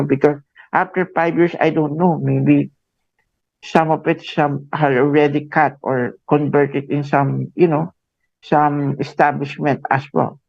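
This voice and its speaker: A Filipino man hosting a radio interview, talking haltingly with many fillers and a tag "no?" after his phrases. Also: fairly high pitch, creaky, no room sound. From Philippines. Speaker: radio show host. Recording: dull lo-fi radio sound.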